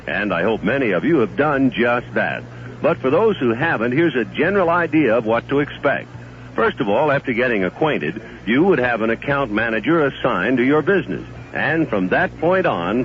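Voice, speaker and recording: A man delivering a radio commercial in a smooth, persuasive pitch, his medium voice moving expressively through each sentence. The sound is muffled 1970s radio with a faint hiss. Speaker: commercial announcer. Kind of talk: live-read radio ad.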